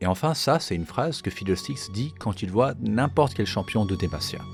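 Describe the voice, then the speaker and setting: A man's voice: lowish voice, lively intonation, normal speed, creaky, faint music behind. A man giving explanatory commentary as a video game lore creator.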